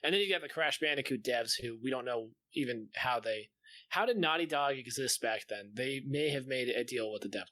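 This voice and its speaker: A man's voice: mid-range voice, expressive, brisk, pushed and bright, no echo. A man co-hosting a podcast, chatting casually in a slangy, exclamatory way with plenty of swearing. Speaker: podcast co-host. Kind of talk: casual chat, animated storytelling.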